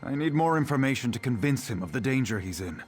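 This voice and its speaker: An actor playing a stern, loyal guard captain in a fantasy game, speaking seriously and with guarded urgency. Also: male voice, mid-range voice, lively intonation, fast, soft background music, close to an everyday voice. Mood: urgent, grave. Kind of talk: video game voice acting.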